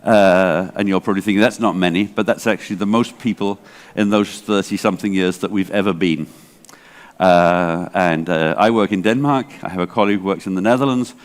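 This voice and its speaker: Man in his sixties, English accent, low voice, chatty rambling talk, hall reverb. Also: fairly quick. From England. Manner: chatty, digressive, self-deprecating, many fillers. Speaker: library association director. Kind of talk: conference talk. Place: large hall.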